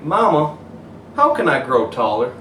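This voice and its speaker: An earnest, curious young boy from a storybook, read by a man, downcast yet hopeful. The voice is lifted in pitch and comes from a little way off in an echoey room. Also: wildly swooping pitch, unhurried, pushed and bright, heavily acted. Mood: puzzled. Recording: roomy echo, distant mic, faint noise.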